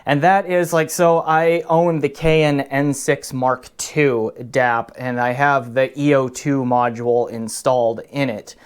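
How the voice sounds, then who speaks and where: A man's voice: mid-range voice, flat delivery, a little slow, few pauses, smooth. Casual man reviewing gadgets. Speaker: product reviewer. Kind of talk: casual unscripted audio gear review.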